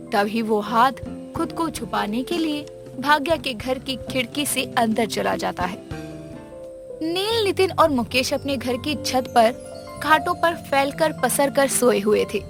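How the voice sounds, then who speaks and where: A woman narrating a scripted village story in a dramatic storytelling style. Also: high-pitched.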